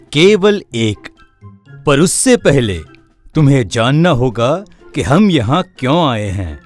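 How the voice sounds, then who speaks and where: A man's voice: medium pitch, darkish tone. A man playing a sly, greedy fake swami in a cartoon moral story, speaking in grand, theatrical pronouncements. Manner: slow, theatrical, pompous pronouncements.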